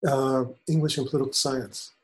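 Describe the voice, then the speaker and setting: Older man speaking in measured tones. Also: mid-range voice, flat delivery. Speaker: guest, spiritual teacher and author. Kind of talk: interview answers.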